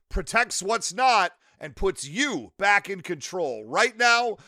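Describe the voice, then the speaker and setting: A man's voice: high-pitched, sharp tone, no room sound, studio quality. A man giving a scripted podcast advertisement read in an emphatic, persuasive manner. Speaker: ad reader.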